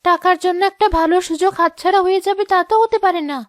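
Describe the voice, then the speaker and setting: A woman plays a fisherman's loyal wife in a cartoon drama. Her voice is extremely high and quick, with an earnest, encouraging manner. Music plays clearly behind her. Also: fairly even intonation, no room sound, clean studio sound. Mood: encouraging, resolute. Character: poor fisherman's devoted wife, brave and encouraging.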